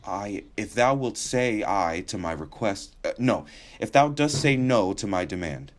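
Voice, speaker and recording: A man's voice: fairly low pitch, lively intonation, measured pace, slight background noise. An actor playing a lusty, commanding bachelor king, teasing and imperious in theatrical Shakespearean dialogue. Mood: insistent wooing.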